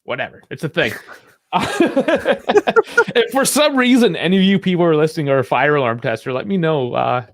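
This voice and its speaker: A man's voice: a little high, very animated, moderate pace, no room sound, studio quality. A man co-hosting a casual sports call-in podcast, talking in a chatty, rambling way and laughing often. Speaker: podcast co-host.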